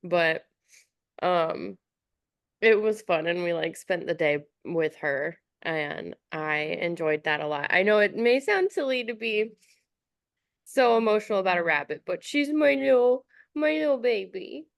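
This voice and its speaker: A woman's voice: mid-range voice, lively intonation, a little slow, gravelly, dry recording, studio quality. A woman chatting casually as a podcast co-host, chatty and giggly, with a slightly stuffy nose. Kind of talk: casual chat.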